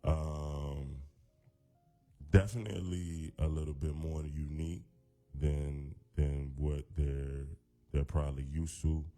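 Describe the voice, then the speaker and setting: A man with a very deep voice, a hip-hop artist talking as a guest in a radio studio in a loose, laid-back way full of fillers. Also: steady tone, slow, warm tone. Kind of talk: casual radio interview.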